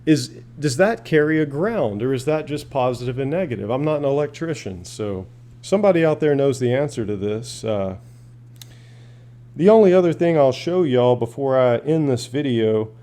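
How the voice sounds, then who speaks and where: A man's voice: medium pitch, lively intonation, normal speed, warm tone. A man giving a casual, plain-spoken, rambling product demonstration as a hobbyist reviewer.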